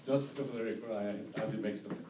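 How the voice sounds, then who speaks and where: A man who is not a native English speaker, with a strong accent, giving a formal statement at a hearing in halting, repetitive phrases. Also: fairly low pitch, fairly even intonation. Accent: strong non-native. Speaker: bidder presenting an appeal at a hearing. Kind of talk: formal statement, partly read aloud. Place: indoor hearing room. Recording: roomy, distant mic, faint noise.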